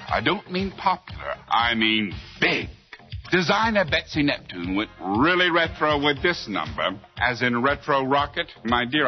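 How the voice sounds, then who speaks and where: A catty, flamboyant fashion correspondent in a comic TV report. His mid-range voice swoops theatrically, arch and drawn out, with music underneath. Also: medium pitch. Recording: slightly dull, music behind.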